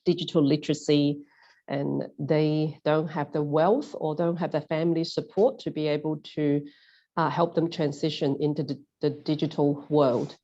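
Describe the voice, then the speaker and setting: Low, very mellow woman with a cold. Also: fairly even intonation, normal speed, dull audio. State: has a cold, clearing throat. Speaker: poet. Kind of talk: introducing and reading own poem.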